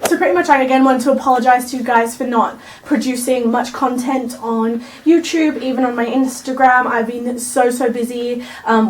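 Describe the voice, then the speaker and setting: A woman's voice: a little high, distant mic. A young woman from Wales, with an Australian tinge to her Welsh accent, chatting casually to camera in a rambling vlog. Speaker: YouTube vlogger.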